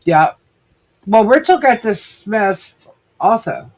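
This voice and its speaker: A woman's voice: mid-range voice, very animated, slow, darkish tone. Woman, New York accent, livestream co-host, chatty, interrupts herself. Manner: chatty, breaks off mid-sentence to ask for files. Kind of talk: casual legal commentary livestream.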